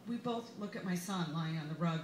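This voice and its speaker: An American woman, an author giving an expressive live reading of her memoir in a wry manner. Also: fairly low pitch, brisk. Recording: roomy, echoey bar with background noise.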